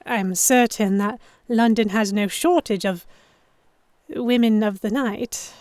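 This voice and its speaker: A woman's voice: fairly high pitch, slow, a little mellow, dry recording. A woman narrating an audio drama in the first person and acting out the dialogue. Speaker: narrator and lead actor.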